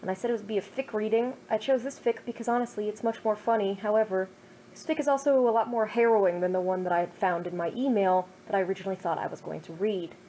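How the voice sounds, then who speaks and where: A woman's voice: mid-range voice, quick pace, warm tone, slight background noise, poor audio. A woman in her twenties, a streamer reading her own old fan fiction with self-mocking, over-the-top jokes. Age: 20s. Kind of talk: casual chat then dramatic story reading.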